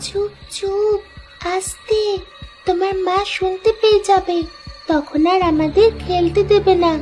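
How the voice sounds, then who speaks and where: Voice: extremely high pitch, measured pace, a little mellow. A performer voicing a mysterious, playful little village girl in a children's cartoon, with a coaxing, childlike manner. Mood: secretive, coaxing. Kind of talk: children's animated horror cartoon dialogue. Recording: music behind the voice.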